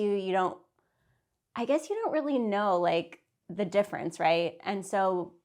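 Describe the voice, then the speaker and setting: A woman's voice: medium pitch, vocal fry. A woman in her thirties, a business owner, telling her story in a casual, chatty interview. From Santa Fe, New Mexico, US. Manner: chatty, with "like" fillers and light laughs.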